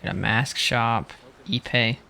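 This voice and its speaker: A man's voice: medium pitch, slow pace, gravelly, sharp tone, slight background noise. A man giving casual gameplay commentary as he plays. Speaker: gamer. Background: game music.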